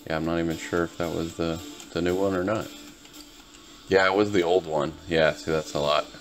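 A man's voice: low-pitched, steady tone, normal speed, faint music behind. A tired, laid-back man giving casual, rambling gameplay commentary to his viewers as host of a gaming video. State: tired, just woke up.

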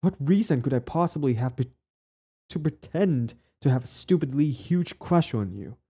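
A man's voice: mid-range voice, expressive, moderate pace, dark tone, breathy edge. A young man acting a possessive, lovestruck bully in an intimate boyfriend audio roleplay, speaking earnestly with hesitant stammers. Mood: hurt, indignant.

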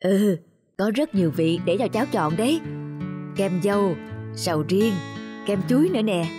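A woman's voice: mid-range voice, animated, normal speed, mellow, lightly acted. Woman voicing a patient ice cream man. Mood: welcoming. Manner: patient, explanatory. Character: kindly middle-aged male ice cream seller, patient, respectful. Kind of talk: cartoon dubbing. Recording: music behind the voice.

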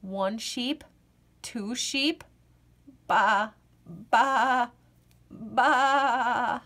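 A woman reading a children's picture book aloud, playful and expressive, acting out the yawns as she goes. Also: a little high, extremely slow.

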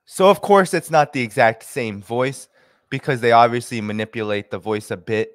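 Young male commentator, studio mic, dry room, animated with lots of uhs. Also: medium pitch, moderate pace, dry recording. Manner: animated, gesturing, many fillers. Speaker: host and commentator. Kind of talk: commentary on video clips. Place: small home office. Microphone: studio mic with headphones.

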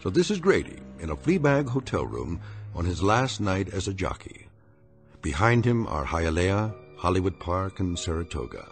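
An actor playing an ominous, sardonic narrator of a radio drama, with deliberate scripted delivery. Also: male voice, sits low, big pitch swings, soft background music.

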